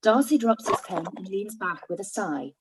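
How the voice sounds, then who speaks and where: A woman narrating stage directions in a live-read radio play, in a plain, even delivery. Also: mid-range voice, normal speed, noisy background.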